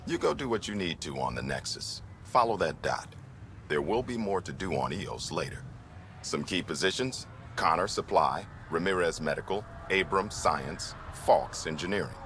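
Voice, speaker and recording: An actor playing a gruff, plain-spoken middle-aged frontier mayor in a video game, speaking in clipped, resolute, folksy phrases. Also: male voice, lively intonation, normal speed, a bit bright, slight background noise. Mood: brisk, businesslike. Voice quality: very deep voice. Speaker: colony mayor character. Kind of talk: video game dialogue acting.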